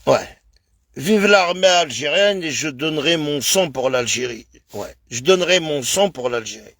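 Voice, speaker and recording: Man from France, high-ish voice, slow and insistent, streaming live into a close phone mic with dry sound. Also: expressive, a bit bright, no room sound. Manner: emphatic, repetitive, addresses viewers as brother. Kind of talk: casual live-stream rant.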